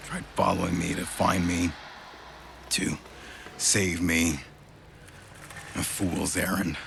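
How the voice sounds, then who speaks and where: An actor playing a bitter, world-weary survivor, cynical and foul-mouthed, in a video game cutscene. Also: male voice, flat delivery, a bit bright, exaggerated voice. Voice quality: very gravelly, fried. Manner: cynical, profane, halting. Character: bitter, world-weary male outrider's son, debt-ridden survivor. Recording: clear background rumble and ambience.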